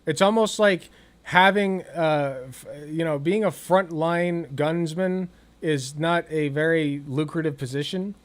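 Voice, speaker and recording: A man giving casual, sarcastic reaction commentary over a clip, swearing freely and tossing off quick asides. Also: on the high side. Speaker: political commentator reacting to a video.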